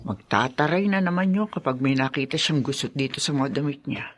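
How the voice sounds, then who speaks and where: A woman's voice: extremely low pitch, animated, fairly quick. An actress playing an anxious older mother-in-law in a radio drama, muttering fretfully to herself and exclaiming. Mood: flustered muttering.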